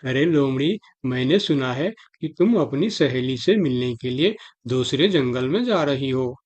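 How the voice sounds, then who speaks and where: A man's voice: mid-range voice, animated, unhurried, a little mellow. A man voicing a dutiful, suspicious elephant army commander in a cartoon fable, officious in manner. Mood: stern, suspicious. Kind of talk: Hindi animal fable cartoon dialogue.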